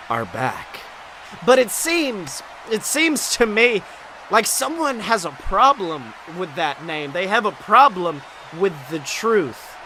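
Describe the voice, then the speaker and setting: A man playing a folksy, hyped wrestling announcer with a drawn-out showman delivery. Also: high voice, pushed and bright, slight background noise, heavily acted. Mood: dramatic. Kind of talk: wrestling show commentary.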